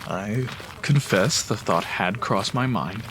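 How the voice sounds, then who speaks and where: A man acting as a wise, devout seer in a fantasy audio drama, solemn, measured and reassuring. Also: lowish voice, noisy background.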